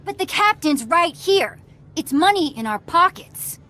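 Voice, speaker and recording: Lively, cocky bounty hunter. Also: female voice, extremely high pitch, measured pace, soft background music, heavily acted. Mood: exasperated. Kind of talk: video game acting.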